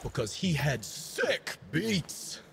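An actor playing a cocky, laid-back young dancer and DJ in a video game, with swaggering party slang and a gravelly voice. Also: male voice, on the high side, sing-song, slow pace, very noisy, exaggerated voice. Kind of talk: video game character voice lines.

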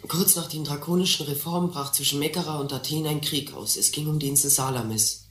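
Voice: medium pitch, steady tone, fairly quick, echoey room, noisy background, close to an everyday voice. A performer playing a restless, impatient teenage schoolboy in a radio play, brash, slangy and grumbling. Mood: distracted, grumbling. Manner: impatient, muttering to himself, slangy. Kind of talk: German radio play acting.

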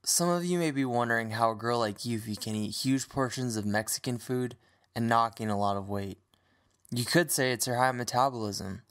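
A man's voice: medium pitch, moderate pace, a bit bright. A man narrating a scripted story with wry humor as the narrator.

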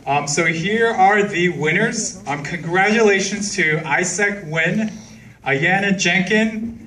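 A man hosting a fan event, making loud, chatty announcements with apologetic asides in a large cinema hall. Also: sits high, slow pace. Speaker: event host. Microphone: handheld mic, filmed from far seats. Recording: distant voice, crowd and noise from far off.

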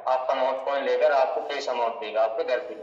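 A man's voice: medium pitch, steady tone, brisk, distant mic, soft background music. A man having a business conversation over a phone speaker as a buyer. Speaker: coin and note buyer on a phone call. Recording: muffled phone speaker in echoey room.

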